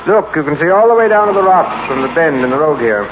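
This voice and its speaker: A man's voice: on the high side, lively intonation, fast, lightly acted. An actor playing a cold, curt, scheming businessman husband in an old-time radio drama. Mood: smooth, quietly menacing. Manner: curt, commanding. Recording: muffled, noisy old radio recording.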